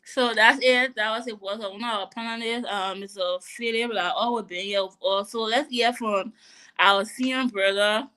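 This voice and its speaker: A woman's voice: on the high side, steady tone, normal speed, pushed and bright, smooth. A woman hosting a live online panel show, chatty and informal, often laughing as she brings in her guests. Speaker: host.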